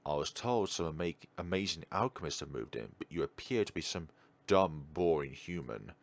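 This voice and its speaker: A man's voice: rumbling low, lively intonation, moderate pace. A man voicing a snobbish, sneering male elf in a visual novel, haughty and dismissive.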